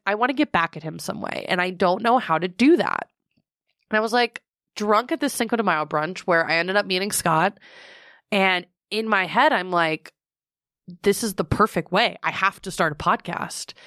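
A young woman co-hosting a podcast in a studio, chatting casually and getting animated, her pitch jumping up when she gets worked up. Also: normal speed, no room sound. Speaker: podcast co-host. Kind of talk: casual chat.

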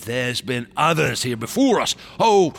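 An actor in a radio drama playing a fanatical, temperamental artist, rambling and stammering with feverish intensity in a gravelly, raspy voice. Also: male voice, medium pitch, sing-song, a little slow. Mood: feverish zeal. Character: fanatical, temperamental male artist of monstrous museum exhibits.

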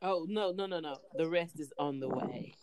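A woman's voice: low voice, normal speed. A woman co-hosting a casual podcast, joking and laughing as she talks. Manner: animated, joking, laughs often. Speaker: podcast co-host. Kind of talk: casual chat.

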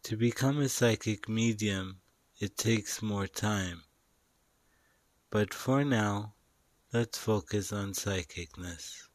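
A man narrating a guided meditation in a soothing, instructional way, pausing between short phrases. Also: fairly low pitch, no echo.

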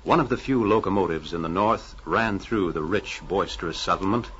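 A man's voice: low-pitched, moderate pace, a bit bright. A man narrating a vintage radio drama in a formal, scripted announcer style. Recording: muffled, hissy old radio recording.

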